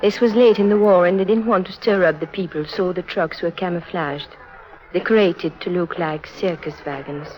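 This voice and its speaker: Muffled 50s radio play, woman acting lonely earnest teen circus orphan girl, lowish voice, confiding. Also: normal speed, natural voice. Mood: bitter, grave. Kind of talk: 1950s radio drama acting. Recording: muffled vintage 1950s radio recording.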